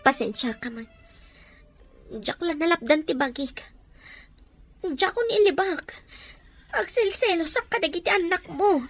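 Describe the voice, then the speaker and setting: A woman's voice: very high voice, expressive, unhurried, pauses often, no echo, soft background music, heavily acted. A woman playing an emotional, anxious wife in an audio drama, speaking in a nagging, confrontational way. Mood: apologetic, resigned. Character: jealous, anxious wife pleading over household money. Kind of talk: amateur audio drama dialogue.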